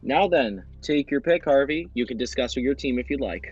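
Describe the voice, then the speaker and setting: A man's voice: mid-range voice, animated, a little fast, highs cut off. A man hosting a quiz show, addressing contestants directly in a presenter's delivery. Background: background music.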